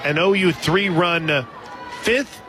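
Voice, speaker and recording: An American man calling softball play-by-play live on the radio. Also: sits high, slow. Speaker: play-by-play announcer. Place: outdoor softball stadium broadcast booth. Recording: loud crowd and music behind broadcast voice. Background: crowd noise and stadium music.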